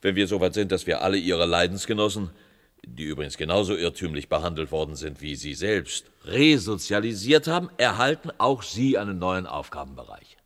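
Low, animated patronising official. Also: male voice, brisk. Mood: placating. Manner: soothing, condescending. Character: placating, patronising male official, slyly authoritative. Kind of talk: science fiction radio drama acting. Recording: long reverberant hall sound.

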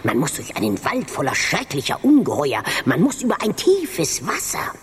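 Casting: century-old self-important mouse lady, raspy, low-pitched, halting and grave, radio play, reverberant hall. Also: wildly swooping pitch, heavy background noise. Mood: solemn warning. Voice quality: husky, raspy. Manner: halting, portentous, repeats words. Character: ancient, fearful, self-important female mouse over a hundred. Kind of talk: children's radio play acting.

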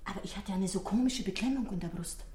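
A woman's voice: mid-range voice, fast, dark tone, close to an everyday voice. An actress as a talkative concierge in a radio play, heard in a roomy, echoing space. She is polite and obliging, with an eager streak of gossip in the way she speaks. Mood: chatty, obliging. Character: obliging, chatty female concierge of an apartment house. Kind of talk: German radio drama acting.

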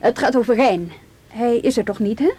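An actress in a Dutch radio drama playing a polite, persistent juvenile police officer, tentative and earnest. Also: female voice, fairly high pitch, moderate pace, dark tone, breathy edge.

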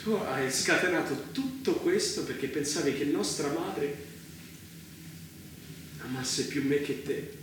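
An actor playing a wounded, resentful brother in a stage drama, speaking in a deliberate, accusing and dramatic way. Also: male voice, medium pitch, noisy background, lightly acted. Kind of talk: stage theatre acting. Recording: echoey stage from far off, loud music.

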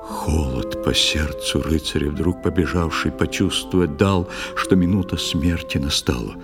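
A man narrating an audio play in verse, expressive and theatrical. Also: rumbling low, unhurried, music in the background. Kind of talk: scripted dramatic verse narration.

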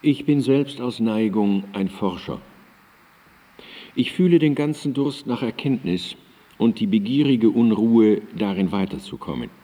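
An actor playing an earnest, reflective Enlightenment philosopher in a radio drama, speaking in measured, emphatic, carefully phrased declamation. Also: male voice, fairly low pitch, lively intonation, warm tone. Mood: earnest, confessional. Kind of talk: radio drama monologue of historical writings. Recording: reverberant hall sound.

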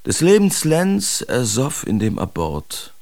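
Mocking Dadaist artist, brash, theatrical recitation, crackling vocal fry, radio play. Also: male voice, mid-range voice, huge pitch swings, takes it slowly, a little mellow, heavily acted. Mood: rowdy, raucous. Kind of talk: expressive literary radio drama recitation.

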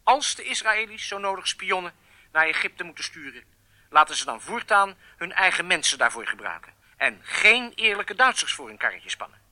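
A man acting in a radio drama as a captured German agent who gives deliberate, declarative statements to an interviewer. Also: high-pitched, very animated, bright, forceful tone, exaggerated voice. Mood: indignant.

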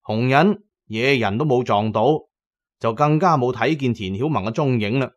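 A male audiobook narrator with a Cantonese accent and a mid-pitched voice. He tells an adventure dramatically, in a storyteller's delivery with colloquial turns. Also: moderate pace, muffled recording.

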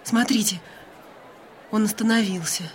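A woman plays a wide-eyed young noblewoman in a radio play. She is excitable and gasping, in a medium-pitched female voice with faint ambience behind it. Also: unhurried, a little hiss or hum, natural voice. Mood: wide-eyed excitement. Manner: gasping, exclamatory. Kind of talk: audio drama acting.

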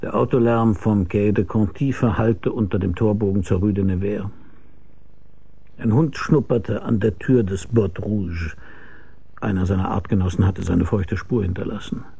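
An actor playing a weary, laconic, deadpan hard-boiled private detective who narrates his case in a radio crime drama. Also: on the low side, normal speed, gravelly, breathy edge. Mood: grim, deadpan.

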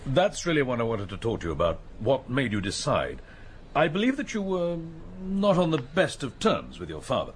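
An actor playing a measured, courteous, probing police inspector in a radio drama. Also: male voice, medium pitch, huge pitch swings, a little hiss or hum. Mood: polite, probing.

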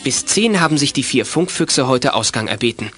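A man's voice: medium pitch, lively intonation, normal speed, a bit bright, faint music behind, natural voice. A man narrating a children's detective audio drama in scripted storytelling style.

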